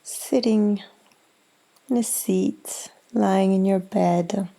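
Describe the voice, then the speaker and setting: Slow, mellow woman guiding meditation. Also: mid-range voice, steady tone, gravelly. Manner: gentle, soothing, unhurried with long pauses. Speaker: yoga nidra meditation guide. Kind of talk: guided meditation.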